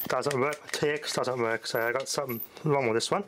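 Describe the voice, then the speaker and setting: Male hobbyist, mid-pitched, unscripted demo commentary, offhand delivery, recorded with heavy ambient noise. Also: fairly even intonation, a little fast. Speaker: hobbyist demonstrating radio equipment. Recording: loud ambient noise throughout.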